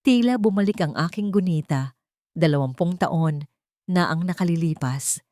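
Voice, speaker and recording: A woman reading a scripted first-person dramatic story in a measured, solemn manner. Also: on the low side, a little mellow, dry recording, studio quality. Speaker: narrator of an audio story.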